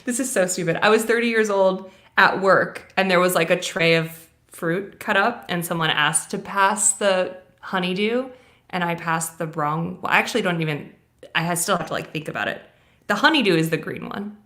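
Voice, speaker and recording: Female co-host in her thirties, Russian first language, bright and forceful, animated self-mocking chat. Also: fairly low pitch, moderate pace. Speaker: co-host reading viewer questions. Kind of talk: casual live Q&A chat.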